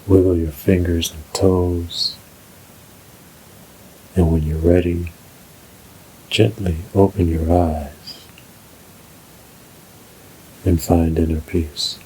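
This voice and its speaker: A man narrating a scripted guided meditation in a soothing, measured, unhurried way. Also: low-pitched, flat delivery, warm tone, a little hiss or hum, low-quality recording.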